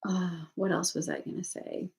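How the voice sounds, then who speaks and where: A woman with a lowish, gravelly voice chats casually about her craft plans, wandering off mid-thought and circling back. She sounds a little sniffly, talking from a room at home. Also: little pitch movement, moderate pace, a little mellow. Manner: rambling, self-interrupting, thinking aloud. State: sniffly from allergies. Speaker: knitting channel host. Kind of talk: casual livestream chat.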